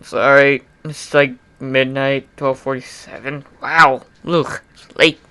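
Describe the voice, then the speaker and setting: A man's voice: mid-range voice, level tone, slow pace, bright, forceful tone, clean tone, no echo. A young man giving an amateur dramatic reading of fan fiction, informal and often breaking off into chatty, apologetic asides. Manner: informal, self-interrupting, apologetic.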